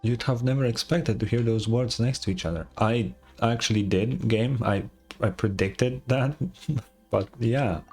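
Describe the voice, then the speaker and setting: A man's voice: medium pitch, a little slow, darkish tone. Casual, blunt man reacting on stream. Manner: blunt, sweary, incredulous asides. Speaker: streamer reacting to a game story. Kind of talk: casual live reaction commentary. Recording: game music behind the voice.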